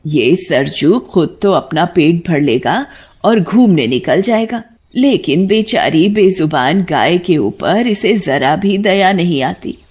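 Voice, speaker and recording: A woman voicing a kind, poor old village woman in a storybook cartoon, muttering slowly and plaintively. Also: on the low side, animated, a little mellow, breathy edge, full character voice. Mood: concerned, tender.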